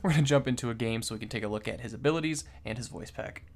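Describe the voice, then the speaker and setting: A man's voice: mid-range voice, racing, a little hiss or hum. A young adult man giving casual game commentary as the host of a gaming video.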